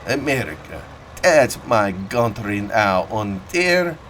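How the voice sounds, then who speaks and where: A man's voice: on the low side, sing-song, slow, a bit bright, a little hiss or hum, heavily acted. A man voicing an older German immigrant farmer, with a German accent, proud and talkative, in broken English. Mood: reminiscing.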